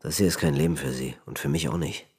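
An actor playing a plain-spoken working-class wagon driver who dreams of farm life, in a German radio play. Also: male voice, extremely low pitch, quick pace, darkish tone, breathy edge, dry recording, clean studio sound, full character voice. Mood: hopeful, earnest.

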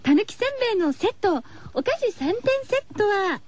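A woman's voice: very high voice, sing-song, moderate pace, darkish tone. A woman hosting a radio show, chatting casually to her listeners in a cutesy, girlish way. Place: radio studio. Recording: slightly dull, lo-fi radio recording.

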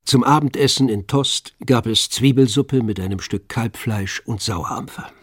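A man narrating a literary radio drama from a script in a measured storytelling manner. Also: medium pitch, slightly rough, dry recording, clean studio sound. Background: music with violin.

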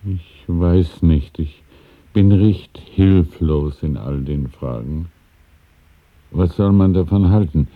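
An actor playing an elderly, deferential and wistful man in a radio drama, speaking hesitantly and courteously with a husky voice. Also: low voice, slow pace, full character voice. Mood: hesitant, musing. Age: older. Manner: hesitant, musing, courteous, with small chuckles. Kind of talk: 1970s German radio drama acting. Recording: muffled, phone-like old radio recording.

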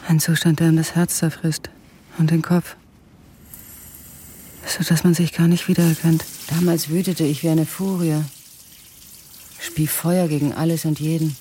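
A woman's voice: low-pitched, flat delivery, moderate pace, long pauses, dark tone, a little hiss or hum, close to an everyday voice. An actress playing a fierce, yearning woman telling her story in a radio drama. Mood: intense.

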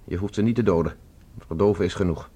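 A resolute fugitive professor in a sci-fi radio drama. He speaks low and a little husky, in clipped, commanding phrases that expect to be obeyed. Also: fast talker, warm tone. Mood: firm, commanding. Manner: terse, imperative, gives orders. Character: commanding escaped professor, prisoner on Mars, urgent and resolute. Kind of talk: Dutch science fiction radio play acting.

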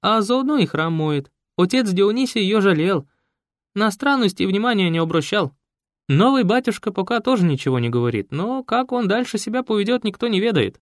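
A man reading a loud, pushy, gossiping church choir director who talks fast and shrieks. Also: expressive, no room sound, clean studio sound. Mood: gossipy. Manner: shrill, rapid, pushy. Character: loud nosy church choir director, middle-aged woman.

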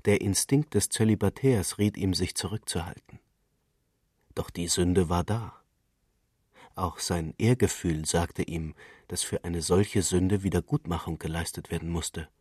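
Deep husky man reading literary fiction. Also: steady tone, unhurried, darkish tone, no room sound, clean studio sound. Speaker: narrator. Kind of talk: literary scripted narration, radio reading.

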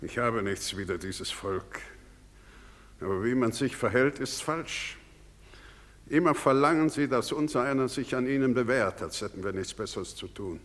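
A man's voice: mid-range voice, lively intonation, normal speed, fairly bright tone, lightly acted. An actor playing a smug, self-righteous townsman with a pompous, lecturing, dismissive theatrical delivery. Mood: smug indignation. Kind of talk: theatrical stage drama acting. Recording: reverberant theatre stage recording.

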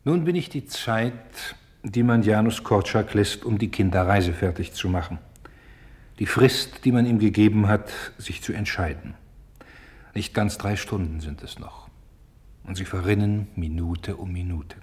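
Deep, husky, grave narrator. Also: male voice, darkish tone. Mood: grave, solemn. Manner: grave, measured, incantatory. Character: solemn, inexorable male narrator embodying Time. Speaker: narrator in a radio play. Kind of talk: scripted literary narration.

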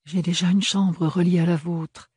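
A man voicing a young woman, clumsy but calm and plain-spoken. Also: high voice, moderate pace, husky, dry recording, clean studio sound, voice pushed up. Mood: earnest.

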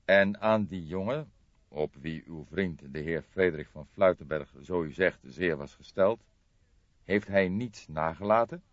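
A man voicing a dry, deliberate older police detective in an audiobook, with a measured, formal, probing way of talking. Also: low voice. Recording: dull, roomy audiobook recording.

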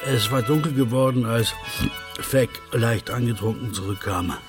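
A man's voice: mid-range voice, moderate pace, over music. A man reading scripted literary narration for a radio play.

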